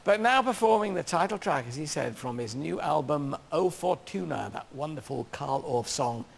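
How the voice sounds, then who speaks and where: Male TV host, slightly high voice, gravelly edges, chatty, theatrical intros. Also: lively intonation, moderate pace, noisy background. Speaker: TV chat show host. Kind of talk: TV interview. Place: TV studio.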